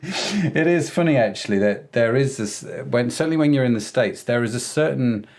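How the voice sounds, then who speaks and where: An English man hosting a podcast interview in a chatty, lively way, reading a sponsor spot and talking with his guest. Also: mid-range voice, moderate pace. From England. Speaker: podcast host.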